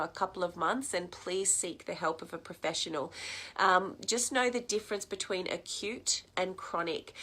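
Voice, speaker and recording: A woman's voice: fairly low pitch, fairly bright tone. A woman hosting a health vlog, sounding snuffly from a cold, chatty and encouraging with frequent ums. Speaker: health series host. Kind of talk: casual health vlog advice.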